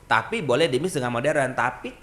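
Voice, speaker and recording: A young adult man, a dance group founder and trainer, chatting on a podcast in an animated way. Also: mid-range voice, a little fast. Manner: animated, sings melodies and acts out quoted voices. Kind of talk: casual podcast conversation.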